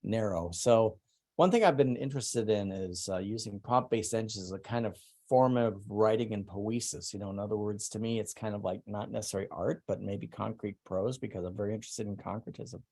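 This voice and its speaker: A middle-aged man walks through his own artwork in an online salon talk. His voice sits fairly low. He talks haltingly, full of ums, trailing off and restarting his sentences. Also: warm tone. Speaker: presenting artist.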